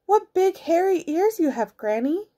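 A woman's voice: extremely high pitch, sing-song, a little slow, dark tone, voice pushed up, full character voice. A woman voicing an innocent, wide-eyed little girl in a storybook, full of wondering exclamations. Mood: wide-eyed, nervous.

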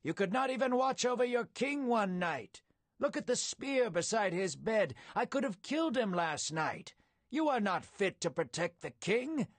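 A man voicing a bold, righteous young warrior in a storybook tale, shouting in a loud, declamatory way. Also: high voice, big pitch swings, a little slow, no room sound, pitched above the performer's own voice, full character voice. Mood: accusing. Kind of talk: dramatic storybook acting.

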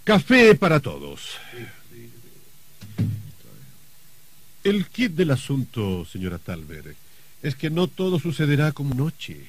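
A man's voice: a little high, huge pitch swings. An actor playing a wry, confident rich man facing his own murder, in theatrical old radio drama style. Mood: polite, controlled. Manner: theatrical, deliberate, sardonic. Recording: vintage radio drama recording.

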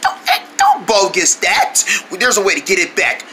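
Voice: mid-range voice, wildly swooping pitch, normal speed, bright, forceful tone, slight background noise. A performer voicing a bossy, exasperated male cartoon friend, blunt and emphatic in manner. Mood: reassuring.

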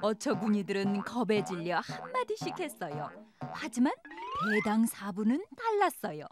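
A woman's voice: medium pitch, huge pitch swings, normal speed. A young woman narrating a storybook for children in a sing-song, lilting way. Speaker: children's show host. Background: children's music.

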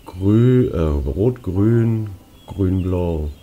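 A man's voice: low-pitched, extremely slow, warm tone, muffled recording. A young man giving casual gameplay commentary, slangy and muttering to himself. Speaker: let's player.

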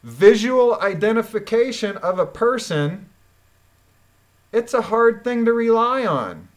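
A woman's voice: a little high, lively intonation, a little slow. A woman reading documents aloud and commenting on them in a blunt, emphatic, indignant manner. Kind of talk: reading a court transcript aloud with critical commentary.

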